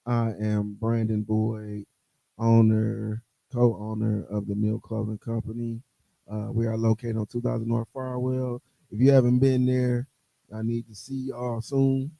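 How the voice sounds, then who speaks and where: Gravelly joking man. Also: on the low side, monotone, measured pace, dark tone, no room sound, rough recording. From Milwaukee, USA. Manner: joking, playful. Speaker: clothing store co-owner, podcast guest. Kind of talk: casual chat.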